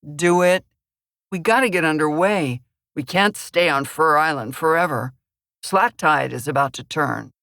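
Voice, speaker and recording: A woman voices a gruff middle-aged boat captain, clipped and impatient, pitched low for the part. He barks short orders, and the sound is dry and close. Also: a little slow, fairly bright tone, no room sound. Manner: curt, impatient.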